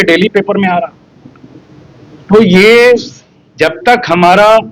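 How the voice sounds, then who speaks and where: A man's voice: sits high, fairly bright tone, rough recording. A man speaking earnestly and rhetorically to reporters at a press interview. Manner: earnest, rhetorical, complaining about officials. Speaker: local activist interviewed by press.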